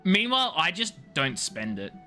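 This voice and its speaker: Male game streamer, animated and swooping delivery, playful teasing banter, crisp-edged bright tone. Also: high-pitched, moderate pace, soft background music. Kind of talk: casual live-stream chat with viewers.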